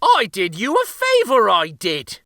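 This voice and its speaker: A high, wildly swooping old uncle from a children's storybook. He is a folksy jokester who teases everyone and says things twice over. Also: a little slow, dry recording, studio quality, heavily acted. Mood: indignant protest. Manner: folksy, excitable, repeats himself. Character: teasing old uncle, a bearded jokester doctor.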